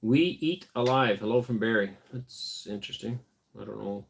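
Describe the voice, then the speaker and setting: A man with a somewhat low voice hosts a late-night homestead livestream, tired and unhurried. He reads viewer comments aloud and answers in a relaxed, thinking-aloud way. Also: creaky, slight background noise. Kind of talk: casual livestream chat, reading viewer comments.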